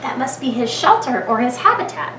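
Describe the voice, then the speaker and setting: A woman with a high, very lively voice reads a story to small children in the manner of a kindergarten teacher. She paces it with dramatic pauses and thinks aloud for her listeners. The recording is roomy and echoing, captured at a distance from the mic. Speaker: kindergarten teacher reading a picture book aloud. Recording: echoey room, distant mic, clear background noise.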